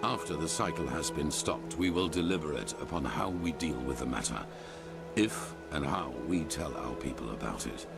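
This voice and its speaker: An actor playing a solemn, imperious older leader of a religious order in a fantasy game, speaking in a measured, formal way. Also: male voice, rumbling low, animated, gravelly, full character voice. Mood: stern, dismissive. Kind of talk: scripted fantasy video game dialogue. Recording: loud music behind.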